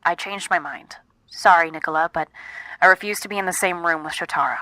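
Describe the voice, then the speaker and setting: A woman's voice: lowish voice, fairly quick, pushed and bright, no room sound, low-quality recording. A woman acting a stubborn, guarded young woman in an audio drama, with a dry, exasperated manner. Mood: firm, exasperated.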